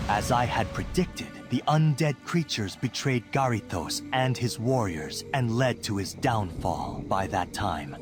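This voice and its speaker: Man playing a self-righteous, lowish-voiced scheming chamberlain. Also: natural voice. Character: scheming, bitter human chamberlain and adviser. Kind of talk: fantasy game cutscene monologue acting.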